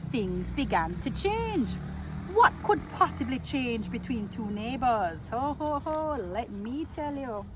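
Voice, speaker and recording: A woman reading a picture book aloud to children in an expressive, playful way, drawing out words and asking her listeners questions. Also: fairly high pitch, background noise. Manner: theatrical, playful, with drawn-out words and exclamations.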